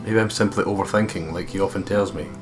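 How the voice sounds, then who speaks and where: Man, low pitch, visual novel read-aloud, guitar music behind. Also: fairly even intonation, fast. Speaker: let's play host. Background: game music with guitar.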